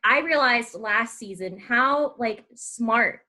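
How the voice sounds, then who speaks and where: A woman's voice: fairly high pitch, slow, bright, forceful tone. An American woman hosting a podcast, chatting informally with her guest in a casual, conversational interview style. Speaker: podcast host.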